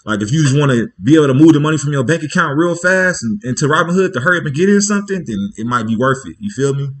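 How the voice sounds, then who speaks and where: A man's voice: on the high side, expressive, fast talker. Man in laid-back, slangy live chat. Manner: loose and slangy, with frequent "you feel me" check-ins; rambling, thinking aloud with restarts. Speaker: livestream host. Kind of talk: casual livestream chat.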